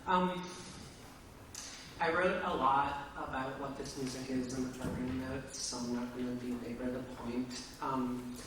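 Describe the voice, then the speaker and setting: Man in a very echoey hall, hesitant. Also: medium pitch. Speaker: composer introducing music at a concert. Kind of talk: informal spoken concert introduction. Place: indoor concert room, speaking into a microphone. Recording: very echoey hall, distant, clearly noisy.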